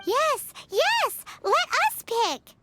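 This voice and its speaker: A woman voicing a tiny, excitable, blunt childlike fairy companion in a video game, with a squeaky, chirpy delivery. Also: extremely slow, heavy background noise, heavily acted. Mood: eager. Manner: chirpy, speaks of herself by name.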